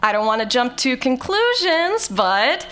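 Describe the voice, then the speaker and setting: A woman's voice: high-pitched, big pitch swings, unhurried, fairly bright tone, a little hiss or hum, exaggerated voice. A woman playing a snarky, proud scientist in an audio drama, with a dry, sarcastic way of talking.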